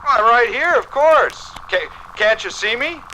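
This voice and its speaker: Lively, excitable American tinkerer husband. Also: male voice, very high voice, unhurried. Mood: calling, impatient. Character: eager, excitable amateur telephone tinkerer, a husband. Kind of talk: 1950s radio acting. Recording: noisy vintage radio recording.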